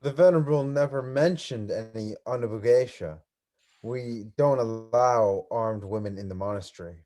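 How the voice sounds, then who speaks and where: A man's voice: mid-range voice, moderate pace, dark tone, close to an everyday voice. A man playing a stern young ronin in a dramatic reading, speaking in a formal, commanding manner. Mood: stern, suspicious. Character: stern young male ronin guarding monastery nuns.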